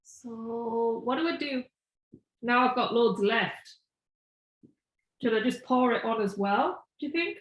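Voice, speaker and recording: Croaky-voiced woman co-host, casual chatter over a video call, a bit high, echoey room. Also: slow, pauses often. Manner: chatty, trails off mid-sentence. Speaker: co-host painting with resin. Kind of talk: casual chat while painting.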